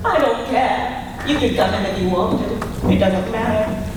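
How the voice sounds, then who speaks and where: A woman playing a grieving mother in a live old-time radio drama, slow, despairing and pleading. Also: fairly high pitch, slight background noise, natural voice. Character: grieving mother of two sons, worn down. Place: large convention hall, live stage. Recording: echoey hall, heard from far off.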